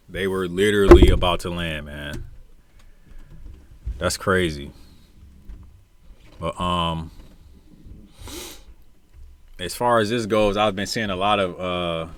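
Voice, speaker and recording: Low-voiced American man in casual commentary. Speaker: commentator, former air traffic controller. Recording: noticeable background noise.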